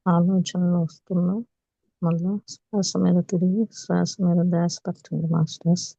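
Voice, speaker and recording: A woman with a Telugu accent leading a guided meditation as a teacher, calm and unhurried, with long pauses. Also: fairly low pitch, vocal fry. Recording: muffled, echoey room recording.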